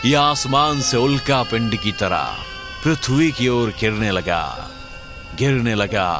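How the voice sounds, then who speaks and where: A man narrating a scripted story in a dramatic, suspenseful storytelling manner. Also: medium pitch. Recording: loud music bed under narration.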